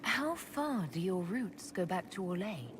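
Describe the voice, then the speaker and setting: A woman's voice: mid-range voice, lively intonation, measured pace, background noise, close to an everyday voice. An actress playing a self-assured, courtly woman leader in a video game, composed and direct in her delivery. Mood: curious. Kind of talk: video game cut-scene acting.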